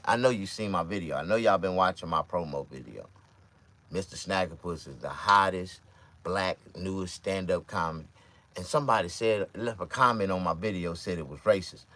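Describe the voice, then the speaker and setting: A man around fifty with a Mississippi accent, talking to camera in a loose, profane, rambling monologue with sudden loud outbursts. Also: low voice, moderate pace. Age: 50s. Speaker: vlogger and stand-up comedian.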